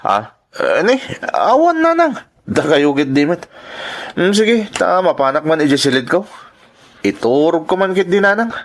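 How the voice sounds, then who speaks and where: Animated, high-voiced scheming young man acted by a man. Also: unhurried. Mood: evasive. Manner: animated, swooping delivery. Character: scheming, lovestruck young man, evasive with his mother. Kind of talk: audio drama acting.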